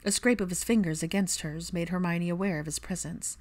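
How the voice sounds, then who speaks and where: A woman narrates a piece of fiction. Her voice sits fairly low for a woman, and she delivers the prose as a scripted audiobook reading. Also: fairly even intonation, a little fast, a little mellow.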